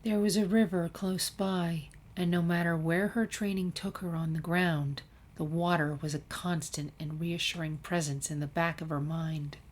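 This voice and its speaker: A woman narrating a written story as a fan fiction reader. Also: fairly low pitch.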